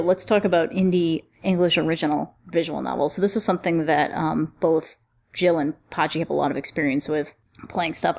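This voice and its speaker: A woman's voice: lowish voice, darkish tone. A woman hosting a casual roundtable chat in an informal, jokey, slangy way. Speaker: podcast roundtable host.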